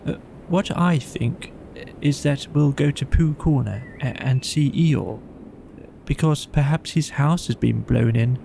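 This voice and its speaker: A man's voice: mid-range voice, a little slow, gravelly, warm tone, a little hiss or hum. A man voicing a friendly, simple-minded toy bear in a children's storybook, pondering and hesitant. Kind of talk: children's audiobook character voice.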